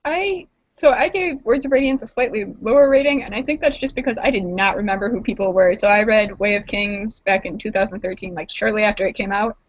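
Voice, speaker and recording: Female panelist, quick and talkative casual book chat; dry, slightly lo-fi sound. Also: medium pitch, no echo. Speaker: panelist and awards judge. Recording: dull, dry online call audio.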